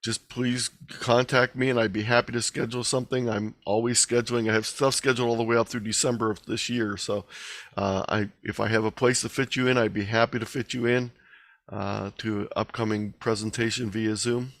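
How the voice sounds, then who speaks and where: A man with a mid-pitched voice gives an informal online presentation. The sound is dry, with very little room in it. He explains things in a conversational way, like someone fielding questions. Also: little pitch movement, brisk, fairly bright tone, no echo. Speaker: guest presenter. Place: online video meeting.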